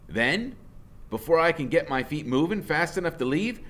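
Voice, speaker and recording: A man narrating a first-person story aloud in an expressive, dramatic way. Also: fairly high pitch, moderate pace. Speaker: audiobook reader, teacher.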